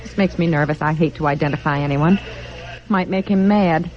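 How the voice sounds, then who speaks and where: An actress playing a flighty, soft-hearted, nervous wife in a mid-century American radio comedy, chattering hesitantly. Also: female voice, sits low, a little fast, natural voice. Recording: muffled, noisy old radio recording.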